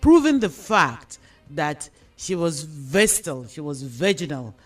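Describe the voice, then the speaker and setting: A man with a Nigerian accent and a fairly high voice leads a radio discussion. He explains things deliberately and emphatically as host, over faint background music. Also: very animated, fairly bright tone. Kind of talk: radio discussion, posing questions.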